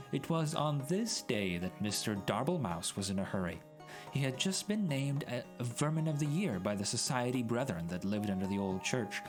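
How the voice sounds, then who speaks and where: A man narrates a storybook with mock-grand, theatrical relish. His mid-pitched voice rises and falls dramatically from phrase to phrase. Music plays faintly underneath. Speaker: streamer reading a story aloud. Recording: background music mixed under voice.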